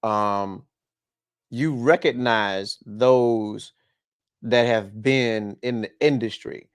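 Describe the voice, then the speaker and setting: A man hosting a podcast, joking and animated in casual chat. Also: medium pitch, drawn-out words, smooth, no echo. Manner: joking, animated, stumbles and restarts. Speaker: podcast host.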